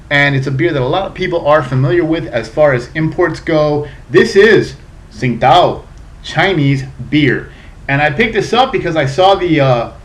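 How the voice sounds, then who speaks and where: A man in his thirties from South Florida, a little drunk, casually reviewing a beer on his webcam in a chatty, profane way. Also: on the high side. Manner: chatty, profane, a bit tipsy. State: slightly drunk.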